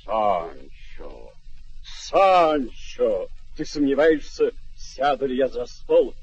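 Dreamy courtly old knight, grand radio drama speeches, raspy, big swoops, old dull recording. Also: male voice, medium pitch, very animated, a little mellow, full character voice. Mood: solemn, proud. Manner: grandiloquent, declamatory, dramatic pauses. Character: lofty, courtly, dreamy elderly knight-errant. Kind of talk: mid-century Russian radio theatre acting.

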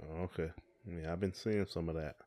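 A man's voice: low-pitched, fairly even intonation, fairly quick, warm tone. A man co-hosting a podcast, talking casually in folksy, colloquial phrasing. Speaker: podcast co-host. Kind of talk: casual conversation.

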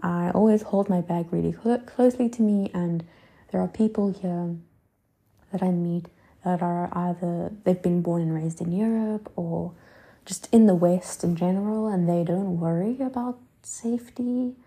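A woman in her twenties hosts her own podcast, thinking aloud in a slow, unhurried ramble. Her voice sits a little low and sounds dark and mellow, with a gravelly edge. She fills her pauses with soft fillers and drops into quiet whispered asides. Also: fairly even intonation. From South Africa. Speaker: podcast host. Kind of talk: casual reflective monologue.

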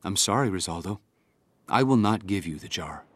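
A man playing a humble, gentle fisherman and younger brother, polite and earnest, in a children's audio drama. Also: low-pitched, expressive, normal speed, husky, dry recording. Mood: quietly firm.

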